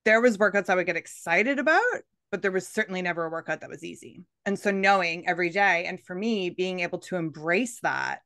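A woman's voice: lowish voice, fairly quick, hardly any pauses, a bit bright, no echo, studio quality. A woman in her thirties hosting a podcast interview on a video call from a room with bookshelves, speaking earnestly and with encouragement. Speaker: podcast host, coach for midlife women.

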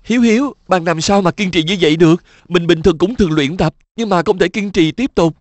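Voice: medium pitch, a little fast, a little mellow, dry recording, natural voice. A performer voicing a slightly lazy, envious schoolboy in a dubbed cartoon, whining and asking questions. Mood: whiny puzzled. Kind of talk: cartoon dubbing.

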